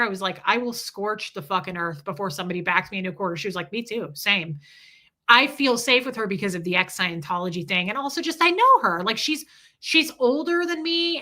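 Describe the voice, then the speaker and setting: Woman, 40s, livestream host; bright, slightly high voice, candid and profane. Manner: candid and profane, reading viewer comments aloud. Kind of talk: casual livestream chat reading super chats.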